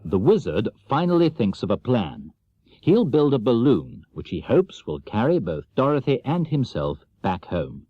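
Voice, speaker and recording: A man's voice: mid-range voice, sing-song, a little slow, slightly rough. A man narrating a scripted summary of a children's story. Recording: dull, lo-fi cassette transfer.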